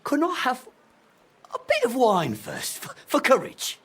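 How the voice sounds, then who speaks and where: An actor playing a middle-aged, washed-up drunken master craftsman in a video game, halting, grumbling and self-pitying, with a gravelly, husky voice. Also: extremely high pitch, huge pitch swings, fairly bright tone, exaggerated voice. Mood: ashamed, pleading. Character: ashamed washed-up drunken old master clockmaker, grumbling and reluctant. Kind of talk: video game drama acting.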